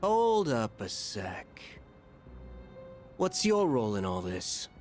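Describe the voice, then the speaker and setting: A man's voice: mid-range voice, wildly swooping pitch, takes it slowly, faint music behind. A man playing a hot-headed, confrontational young man, blunt and challenging, in an anime game. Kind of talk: anime game dub acting.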